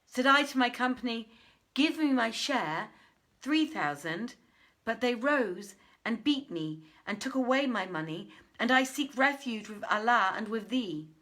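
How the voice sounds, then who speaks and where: A woman's voice: on the high side, animated, measured pace, a bit bright, voice pushed up. A woman voicing a brazen swindler who pleads and declaims in a storybook reading. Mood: loud complaint. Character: brazen swindler posing as a blind man, complaining loudly.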